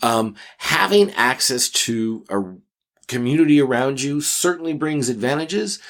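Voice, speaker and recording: A man co-hosting an advice podcast, chatting casually in an emphatic, reflective manner with measured phrases. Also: mid-range voice, lively intonation, a bit bright. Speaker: podcast co-host answering listener questions.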